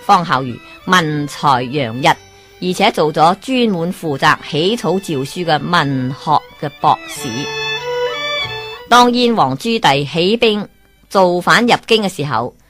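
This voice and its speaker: A woman with a Hong Kong Cantonese accent narrates a folk tale in a lively, exclaiming style. Her voice is low and her pace unhurried, and soft music plays faintly underneath. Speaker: storyteller.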